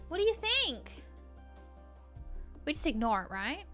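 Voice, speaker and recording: A woman livestreaming a game, switching between reading the text aloud and reacting off the cuff in an animated way. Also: sits high, measured pace. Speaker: game streamer. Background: background music.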